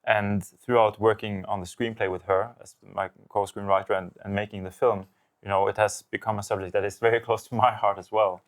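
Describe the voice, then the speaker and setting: A man's voice: on the low side, little pitch movement, a little fast. A man from Norway, a film director, answering interview questions thoughtfully, with many fillers and restarts.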